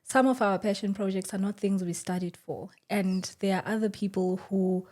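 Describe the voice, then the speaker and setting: A woman's voice: medium pitch, steady tone, normal speed. A woman hosting a casual podcast, asking questions and affirming her guest. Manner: warm affirming listener, asks questions. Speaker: podcast host. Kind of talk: casual interview chat.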